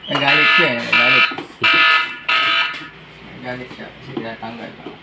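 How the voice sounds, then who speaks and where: A man's voice: medium pitch, steady tone, normal speed, creaky. A Filipino man talking casually through a troubleshooting job, recorded on site with heavy noise and echo. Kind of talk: casual work chat. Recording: very noisy, echoey on-site recording.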